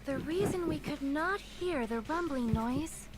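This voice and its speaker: High bright girl student, very formal, big pitch swings, loud music under. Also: expressive, unhurried. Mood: puzzled. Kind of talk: game voice acting. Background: loud game music.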